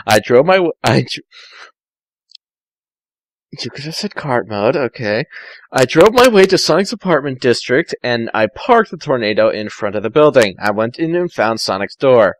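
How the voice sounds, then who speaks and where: A man narrating a story in the first person as an amateur reader, halting and often rereading his phrases. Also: medium pitch, fairly bright tone, no room sound, poor audio.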